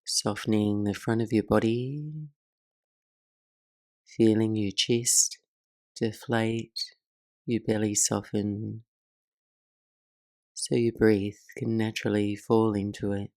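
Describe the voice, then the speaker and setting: A woman guides a meditation in a soothing, very slow voice with plenty of creak at the edges. Her delivery is measured and even, leaving soft pauses between phrases, and the recording is close and dry. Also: extremely low pitch, dry recording. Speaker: meditation teacher and host.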